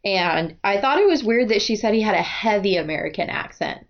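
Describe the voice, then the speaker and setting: A woman from the US Midwest hosting a podcast, chatting casually about a book with quick asides. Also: mid-range voice, lively intonation, highs cut off. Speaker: podcast host.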